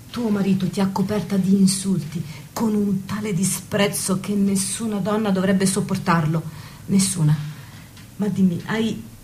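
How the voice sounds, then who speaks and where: A woman's voice: mid-range voice, darkish tone, slight background noise, lightly acted. A woman acting a worldly, loyal confidante in an intimate stage-style drama, blunt, protective and indignant. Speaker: stage actress. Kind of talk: intimate theatrical radio drama.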